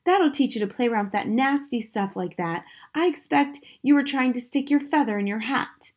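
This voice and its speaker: Woman putting on a bossy, high-pitched nagging wife. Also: lively intonation, normal speed. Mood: scolding. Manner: sharp, nagging, exclaiming. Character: bossy scolding wife and mother.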